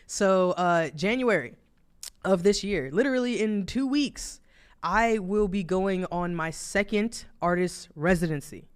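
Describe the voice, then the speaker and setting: A man in his thirties with a New Jersey accent, co-hosting a podcast in relaxed, rambling chat full of fillers and laughs. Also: sits high, a little slow, clean tone. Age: 30s. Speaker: podcast co-host. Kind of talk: casual chat.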